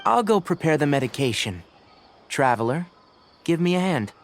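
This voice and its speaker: A man's voice: a little high, sing-song, normal speed. A man voicing a composed, scholarly young forest ranger in a video game, youthful, articulate and matter-of-fact. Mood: calm, instructive. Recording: music behind the voice.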